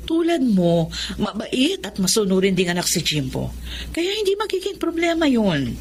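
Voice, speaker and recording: A woman's voice: medium pitch, sing-song, normal speed, darkish tone, a little hiss or hum, natural voice. An actress playing a firm, domineering middle-aged mother and company owner in a Filipino melodrama. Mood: insistent. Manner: firm, imperious.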